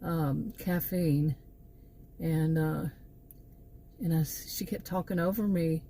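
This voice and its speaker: A woman's voice: low-pitched, takes it slowly, mellow, slight background noise. A middle-aged woman with a Texan accent, talking in a meandering opinion monologue full of asides and restarts. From Texas. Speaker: channel host.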